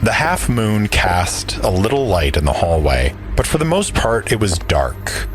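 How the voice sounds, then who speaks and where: A man's voice: on the low side, big pitch swings, unhurried, faint music behind. A man narrating an audio drama as a storyteller, reading scripted prose. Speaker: narrator and player.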